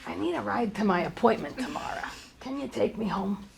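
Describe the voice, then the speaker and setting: A woman with a creaky voice playing a flustered middle-aged male bar owner at a live comedy table read. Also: medium pitch, animated, fairly quick, a crowd in the background. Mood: upbeat. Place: on stage at a comedy theater. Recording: live theater with audience.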